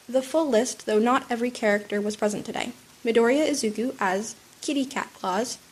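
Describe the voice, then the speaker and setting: A woman's voice: fairly high pitch, breathy edge, poor audio. A woman with a cold, slightly sniffly, reading a fan fiction story aloud at home with small restarts. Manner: soft, with small restarts and fillers. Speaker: podfic reader.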